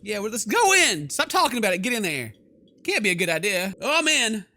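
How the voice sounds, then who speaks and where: A man's voice: sits high, big pitch swings, fairly quick, sharp tone. A man hosting a horror game playthrough, reading text aloud and reacting with theatrical, sarcastic, exclamatory commentary. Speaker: gaming video host.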